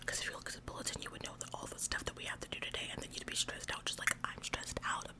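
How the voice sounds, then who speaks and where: A woman acting a whispering, bossy store employee. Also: close to an everyday voice. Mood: stressed, nagging. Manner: halting, repetitive, passive-aggressive nagging. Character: bossy, officious female store employee, smug tattletale. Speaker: ASMR performer. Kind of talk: whispered ASMR roleplay.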